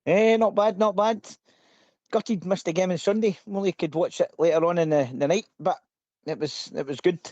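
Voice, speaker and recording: A man's voice: high-pitched, normal speed, darkish tone, no echo. Chatty Scottish man on a dry line. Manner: chatty, laughs, says man a lot. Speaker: regular guest. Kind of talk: casual phone-in chat. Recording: dry, muffled phone line.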